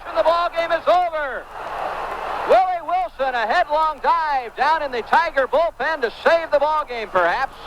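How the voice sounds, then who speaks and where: An American man calling live baseball play-by-play in short, projected phrases. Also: very high voice. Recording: loud background noise, old game broadcast. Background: loud ambient noise.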